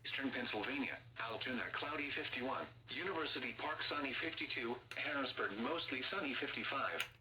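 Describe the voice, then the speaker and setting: A male weather announcer reads a scripted forecast at a middle pitch. The voice comes from a radio speaker some distance from the microphone. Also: fairly quick, few pauses, bright, forceful tone. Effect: radio broadcast played through a small receiver.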